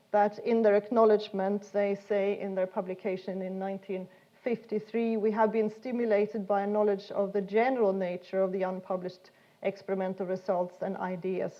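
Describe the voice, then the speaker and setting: A woman's voice: mid-range voice, dull audio. A woman giving an informal science lecture in an explanatory, hesitant way, often repeating words as she goes. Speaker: speaker giving a talk.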